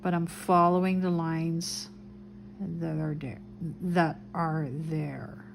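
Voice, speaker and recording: Slow, soft-spoken woman with a Dutch accent. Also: sits low, level tone, creaky, noisy background. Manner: soft, unhurried, describes each step as she draws. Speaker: craft video host. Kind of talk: relaxed craft tutorial narration.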